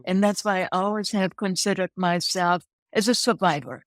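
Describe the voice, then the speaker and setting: A woman's voice: mid-range voice, normal speed, dry recording. Upbeat german lady in her 60s, laughs a lot, dry mic. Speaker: guest. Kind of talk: interview chat.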